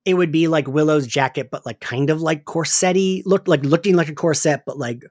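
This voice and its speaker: A man's voice: a little high, fairly quick, darkish tone, no echo, clean studio sound. A man hosting a podcast, steering a casual fan discussion and thinking aloud in an informal way. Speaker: podcast host leading the discussion.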